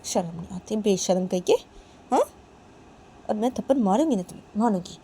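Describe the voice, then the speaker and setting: A woman voicing a bossy young woman who scolds and insults in a low, hushed voice. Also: fairly quick, a little hiss or hum, close to an everyday voice. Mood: scolding.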